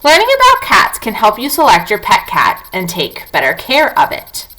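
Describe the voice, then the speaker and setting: A woman's voice: mid-range voice, wildly swooping pitch, normal speed, bright, forceful tone, low-quality recording. A woman teacher reading a non-fiction book aloud to young children, talking to them directly with questions and prompts.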